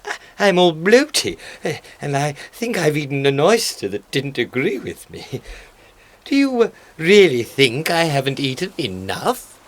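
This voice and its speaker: Man acting a vain, slow-talking dodo with swooping pitch. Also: sing-song. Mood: queasy, hesitant. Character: vain, gullible male dodo, gluttonous and bumbling. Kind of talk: radio play character acting.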